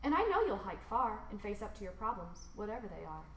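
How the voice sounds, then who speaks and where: A woman reads a rhyming children's picture book aloud expressively, with theatrical emphasis and drawn-out words. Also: mid-range voice, sing-song, echoey room. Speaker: storybook reader.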